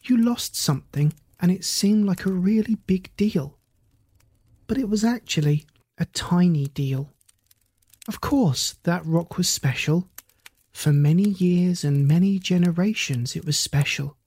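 A gentle, wise mother otter from a storybook, as the narrator puts her on, speaking slowly and soothingly. The voice is soft and breathy, with a close, dry sound. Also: medium pitch, dry recording. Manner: calm, soothing. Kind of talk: bedtime story dialogue.

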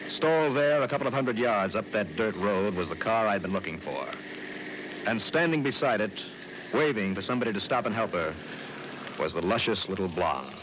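A man's voice: fairly low pitch, lively intonation, moderate pace, background noise, close to an everyday voice. An American actor playing a confident, wry male insurance investigator in an old-time radio detective drama, with a breezy delivery. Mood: wry narration. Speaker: lead actor and first-person narrator. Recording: vintage radio recording with music.

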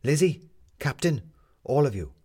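Male reader as bossy woman reporter, storybook dialogue, husky, lively pitch swings, emphatic, challenging. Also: measured pace. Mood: rallying. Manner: emphatic, challenging, rhetorical questioning. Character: brisk, determined young woman reporter, bossy and sharp.

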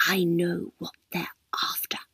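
A woman's voice: medium pitch, sing-song, slow pace, dry recording, clean studio sound, exaggerated voice. A woman whispering as a frail, confused old lady. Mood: hushed. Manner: hushed breathy whisper. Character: frail, confused elderly woman, bedridden and fretful.

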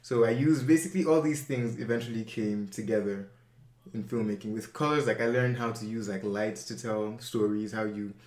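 Low-voiced young man, rambling. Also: fairly even intonation, moderate pace, a little mellow, echoey room. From Nigeria. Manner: rambling, many fillers and self-corrections. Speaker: interview guest, filmmaker. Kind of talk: casual interview chat.

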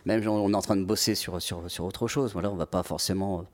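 A relaxed French man in his forties with a fairly low voice, talking through his memories in a loose, halting way. He keeps stopping to restart his sentences and often breaks into laughter. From Paris region, France. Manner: hesitant, many restarts and fillers, laughs often. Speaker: metal singer, guest. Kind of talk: casual interview chat.

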